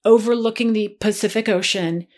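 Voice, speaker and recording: A woman in her forties tells a long story as a guest in a relaxed conversation. She talks in an animated, chatty way, with a mid-range voice. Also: medium pitch, moderate pace. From Maryland, USA. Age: 40s. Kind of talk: storytelling in a casual chat.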